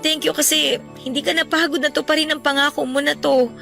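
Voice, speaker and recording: An actress with a Filipino accent playing a devoted, emotional bride-to-be in a radio drama. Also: female voice, high voice, fairly even intonation, brisk, gravelly, bright, forceful tone, soft background music, heavily acted. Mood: grateful, tender. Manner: tender, heartfelt, emotional. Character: devoted bride-to-be and mother, tender, pleading.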